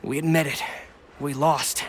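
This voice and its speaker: An actor playing a resentful young thug, defiant and bitter, in a video game. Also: male voice, a little high, flat delivery, measured pace, background noise, lightly acted. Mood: bitter, sullen.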